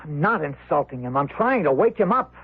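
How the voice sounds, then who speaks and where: An actor playing a worried, sullen husband, grave and earnest, in a radio drama. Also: male voice, fairly high pitch, very animated, a little fast, husky. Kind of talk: 1970s radio drama acting. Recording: muffled lo-fi old radio broadcast.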